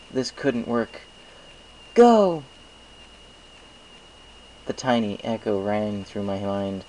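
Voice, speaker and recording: A man's voice: on the low side, gravelly, a little mellow, slight background noise, poor audio. A man reading first-person fiction as an amateur audiobook narrator, now and then stumbling over phrases.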